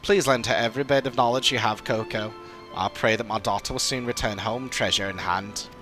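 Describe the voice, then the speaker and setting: A man voicing a courteous, scholarly old father in a fantasy game, speaking in a formal, measured, old-fashioned way. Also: fairly low pitch, fairly even intonation, music in the background, natural voice. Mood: regretful, grateful. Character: courteous old father, scholarly theorist recovering from long illness. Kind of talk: fantasy game dialogue read aloud.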